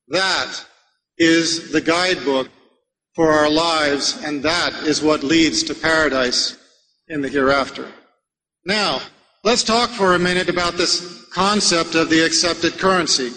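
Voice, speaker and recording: Slow, high-voiced man preaching in a hall. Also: pushed and bright. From America. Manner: measured, rhetorical, addresses the audience with questions. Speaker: Islamic preacher. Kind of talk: religious lecture to a live audience. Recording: long hall reverb.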